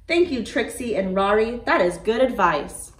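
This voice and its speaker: A woman's voice: fairly high pitch, animated, moderate pace, a little hiss or hum, lightly acted. A woman voicing a tiny, earnest young T-Rex who speaks formally and politely, without contractions. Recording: roomy, from a distance.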